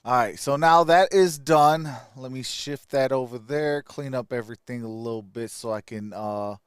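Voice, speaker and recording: A man's voice: medium pitch, normal speed, clear, resonant tone, no echo, studio quality. A man doing casual live-stream sports commentary as host, talking informally to his viewers. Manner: informal, addresses viewers as y'all.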